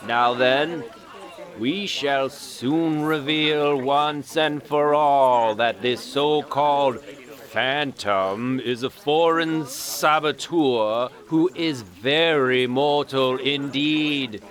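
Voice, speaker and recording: Cowardly tyrant lord, pompous, very slow delivery, bright forceful voice, fantasy audio drama. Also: male voice, medium pitch. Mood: menacing, smug. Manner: pompous, stammering when flustered. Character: pompous cruel usurping lord, cowardly and flustered.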